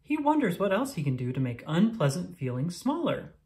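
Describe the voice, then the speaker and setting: A woman with a low-pitched voice narrates a children's picture book. Her intonation swings widely from phrase to phrase, and she delivers each line deliberately and expressively for young listeners. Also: very animated, warm tone. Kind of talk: scripted read-aloud narration of a picture book.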